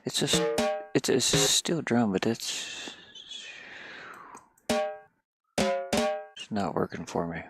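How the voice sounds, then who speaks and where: A man's voice: on the low side, expressive, extremely slow, vocal fry, pauses often, over loud music. A middle-aged man chatting casually on a livestream while he works, hesitant and muttering to himself. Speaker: livestreamer and hobby musician.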